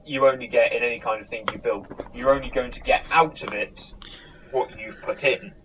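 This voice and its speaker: A man hosting a casual discussion, thinking aloud in a halting, tentative way. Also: mid-range voice, fairly even intonation. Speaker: host reading audience questions. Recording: clear background noise.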